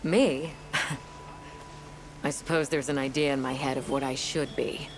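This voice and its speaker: An actress voicing a reflective, restless woman in a game cutscene, musing and gently self-deprecating. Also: a bass voice, steady tone, takes it slowly, a little hiss or hum, low-quality recording. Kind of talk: video game cutscene acting. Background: game score music.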